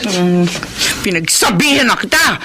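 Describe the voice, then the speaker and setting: A woman's voice: medium pitch, animated, measured pace. Furious scolding mother, swooping intonation. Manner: angry, berating. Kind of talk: radio drama acting. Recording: loud background noise.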